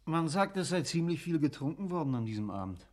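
An actor playing a formal, methodical magistrate questioning a witness in a German radio drama. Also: male voice, fairly high pitch, sing-song, darkish tone. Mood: probing. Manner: inquisitive, brisk, formal.

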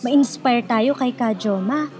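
A woman's voice: a little high, takes it slowly, loud music behind the voice. A woman hosting a scripted radio show, speaking to listeners directly in an exhortative, conversational way. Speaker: radio program host.

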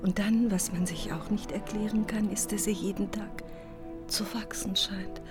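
A woman's voice: mid-range voice, fairly even intonation, normal speed, close to an everyday voice. An actress playing a wistful young woman who speaks simply and lyrically, full of wonder, in a poetic radio drama. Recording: music behind the voice.

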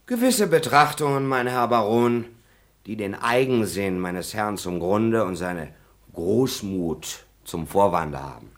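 A cheeky grumbling servant. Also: male voice, fairly low pitch, expressive, slow pace. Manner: impudent, grumbling. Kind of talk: radio play acting.

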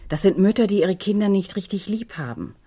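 A woman's voice: medium pitch, expressive, a little fast, mellow, slightly rough. An actress playing a firm, possessive mother in a radio drama, speaking in an insistent, controlled manner. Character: firm, possessive mother pleading with her resistant daughter. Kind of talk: German radio crime drama acting.

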